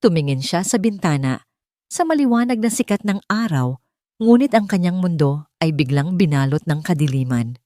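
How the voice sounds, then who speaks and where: A woman reading a scripted dramatic story as narrator, with deliberate phrasing. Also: on the low side, expressive, dark tone, no room sound, studio quality.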